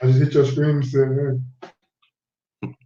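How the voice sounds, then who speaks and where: A man's voice: medium pitch, monotone, unhurried, warm tone. A man co-hosting a livestream, talking casually and helpfully. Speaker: livestream co-host. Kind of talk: casual chat. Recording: roomy, distant, lo-fi call audio.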